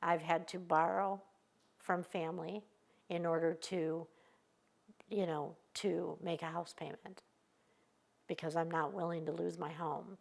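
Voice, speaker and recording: A woman in her fifties from Michigan speaking earnestly and with determination in a news interview, pausing often as she talks. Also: on the low side, fairly even intonation, creaky. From Michigan, USA. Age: 50s. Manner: earnest, determined, halting with pauses.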